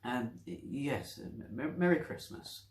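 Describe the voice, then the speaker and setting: A man voicing a meek, awkward male doctor who speaks softly and hesitantly with stammers, in a story reading. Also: medium pitch, slow, reverberant, pitched above the performer's own voice, lightly acted. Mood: awkward, polite. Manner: hesitant, stammering, soft-spoken. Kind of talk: story reading with character voices.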